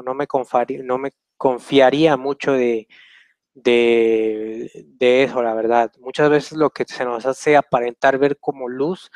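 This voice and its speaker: A man's voice: mid-range voice, no room sound. A young man hosting a casual question-and-answer session over a video call from a small room, rambling and hesitant with many fillers. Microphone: webcam on a video call.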